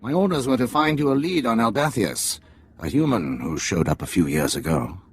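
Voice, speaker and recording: A man playing a jaded middle-aged undercover spy in a video game, with a gravelly, husky voice and a sardonic, deliberate manner. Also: on the low side, big pitch swings, a little hiss or hum. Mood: wry briefing. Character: jaded male Imperial spy posing as alien tea house owner, middle-aged father. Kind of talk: cinematic video game dialogue.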